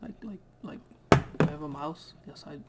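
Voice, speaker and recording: A man's voice: a little high, moderate pace, heavy background noise. A man whose first language is Dutch, chatting casually over a game he plays and reading its text aloud. He often stumbles and corrects himself. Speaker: let's player.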